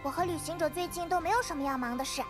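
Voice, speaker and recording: A tiny childlike fairy with a very high, cutesy voice. She is eager and chatty in an expressive anime game manner, with music clearly behind her. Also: very fast. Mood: eager, curious.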